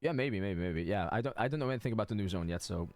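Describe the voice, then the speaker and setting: A man's voice: on the low side, expressive, racing, faint music behind. A man giving casual, reactive commentary as he plays through a game, often repeating short phrases. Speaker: game streamer.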